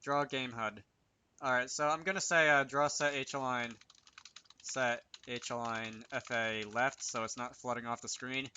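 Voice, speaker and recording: Bright-voiced man thinking aloud through a tutorial. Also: medium pitch, fairly even intonation, smooth. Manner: thinks aloud, hesitant and halting. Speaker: game developer presenting a tutorial series. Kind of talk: unscripted screen-recorded programming tutorial.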